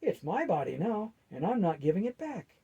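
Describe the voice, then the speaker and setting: A man's voice: sits high, big pitch swings, moderate pace, vocal fry, warm tone, husky, dull audio, voice pushed up, exaggerated voice. A man voicing a grumpy, argumentative demon who has taken over a warlock's body for a storybook. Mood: defiant. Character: grumbling defiant male demon possessing a warlock's body.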